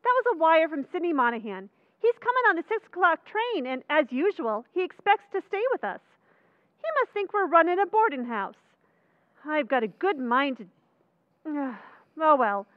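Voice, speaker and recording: Casting: actress as American sitcom wife and mother for vintage radio, doting yet exasperated, very high swooping voice, slightly muffled recording. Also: very animated, normal speed, dry recording. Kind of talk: old-time radio comedy acting.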